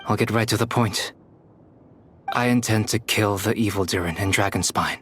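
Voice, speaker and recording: An actor playing a calm, analytical young alchemist in a game, speaking in a measured, precise, formal manner. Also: male voice, lowish voice, slight background noise, natural voice. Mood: matter-of-fact. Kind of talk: scripted English game voice acting. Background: background music.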